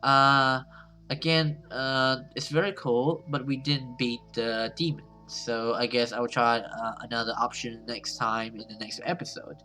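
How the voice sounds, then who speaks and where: A man's voice: mid-range voice, flat delivery, a little slow, pushed and bright, faint music behind. A man giving casual let's play commentary and reading game text aloud. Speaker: gaming video host.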